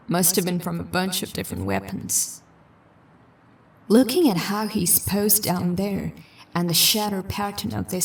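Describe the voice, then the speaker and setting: A woman's voice: lowish voice, vocal fry, far from the mic. A woman playing a determined, sceptical police detective in a science fiction audio drama, musing and dry in her delivery. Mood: analytical. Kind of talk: audio drama dialogue and investigative monologue.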